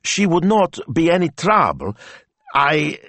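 A man's voice: fairly high pitch, sing-song, takes it slowly, a bit bright, dry recording, voice pushed up, heavily acted. A man voicing a fretful male dog owner who pleads anxiously for help. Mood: pleading. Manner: anxious, pleading, hand-wringing.

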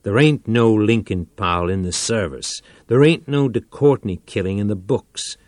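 A man doing a gruff, blunt beat cop. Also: on the low side, moderate pace, a little mellow, dry recording, voice pushed up, close to an everyday voice. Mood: scornful. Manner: blunt, tough street talk. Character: gruff dismissive male police officer.

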